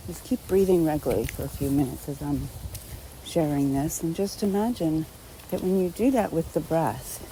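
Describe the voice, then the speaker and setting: A woman leading a guided meditation in a gentle, unhurried manner, speaking unscripted instructions in short phrases with long pauses. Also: low voice, slight background noise, low-quality recording.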